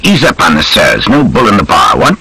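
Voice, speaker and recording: A man's voice: sits low, wildly swooping pitch, a little fast, pushed and bright, husky, heavily acted, low-quality recording. A man voicing a brash, grumbling, hard-drinking British army major in an audiobook, with clipped, slangy, bluff speech. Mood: bluff, jovial. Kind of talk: audiobook character dialogue.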